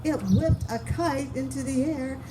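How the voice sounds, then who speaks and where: A woman's voice: sits high, steady tone, a little slow, loud music behind the voice. A woman reading picture books aloud to young children, stretching words for drama and often stopping to ask them simple questions. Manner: asks the listeners frequent simple questions, dramatic word stretching. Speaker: children's storytime reader. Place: outdoors among flowers and open sky. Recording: outdoor recording with loud birdsong and noise.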